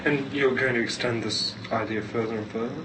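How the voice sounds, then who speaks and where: A man's voice: mid-range voice, fairly even intonation, brisk. An Australian man asking questions as an interviewer. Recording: distant, noisy 1970s interview tape.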